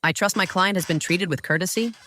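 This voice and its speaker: A woman's voice: lowish voice, sharp tone, slight background noise. Poised, cutting woman attorney. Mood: cool, commanding. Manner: formal, deliberate, dryly sarcastic. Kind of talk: noir audio drama dialogue.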